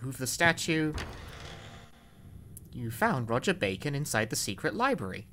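A man's voice: medium pitch, big pitch swings, normal speed, pushed and bright, slight background noise. A young man giving casual game commentary as a speedrun streamer. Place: indoor room at home.

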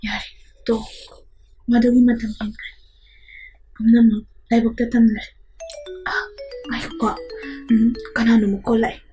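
A woman playing an anguished young bride in an audio play, her voice hushed and tearful. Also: on the high side, little pitch movement, racing, pauses often, close to an everyday voice. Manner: hushed, then tearful and shouting. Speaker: radio drama actress. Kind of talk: Manipuri audio play acting. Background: music score.

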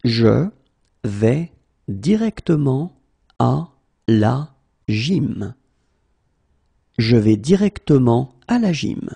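Slow, gravelly French teacher man. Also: medium pitch, big pitch swings, warm tone. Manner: repeats each phrase whole, then word by word. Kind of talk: slow phrase-by-phrase language drill.

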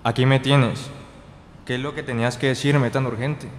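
A man's voice: mid-range voice, level tone, moderate pace, a little hiss or hum, natural voice. A young man playing an impatient, dismissive, proud brother in an amateur radio drama, stiffly reading from a script. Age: young adult. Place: indoor green-screen studio. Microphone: handheld dynamic microphone held close. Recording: reverberant hall-like echo.

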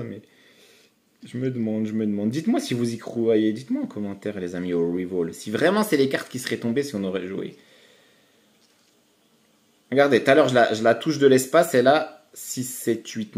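A man commenting on his online poker hands for viewers in a chatty, excitable way. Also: medium pitch. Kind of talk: casual live commentary while playing.

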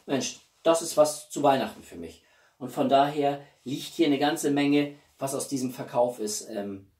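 A man's voice: mid-range voice, a little slow, a little mellow. Roomy, distant-miked man chatting. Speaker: YouTube host. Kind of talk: casual chat to camera. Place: small living room at home, indoors. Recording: roomy echo, distant microphone.

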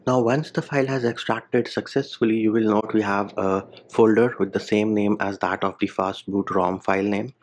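A man narrating a screen-recorded software tutorial, explaining each step plainly with casual asides. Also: lowish voice, steady tone, moderate pace, hardly any pauses. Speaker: tech tutorial host. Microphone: voice-over over screen capture.

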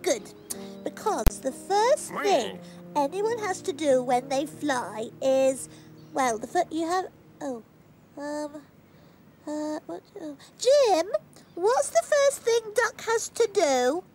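A woman voicing an excitable, bossy little girl rag doll in a children's puppet show, childlike and exclamatory. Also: takes it slowly, soft background music, exaggerated voice. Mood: playful, instructing. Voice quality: childlike, squeaky. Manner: exclamatory, sing-song, giggly.

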